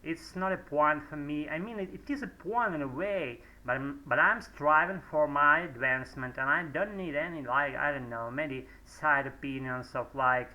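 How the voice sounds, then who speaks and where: A man's voice: on the high side, moderate pace, few pauses, sharp tone, poor audio. A man whose first language is Russian, rambling through an unscripted English monologue at home, hesitant, full of fillers and restarts. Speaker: English learner vlogger. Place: home room, indoors.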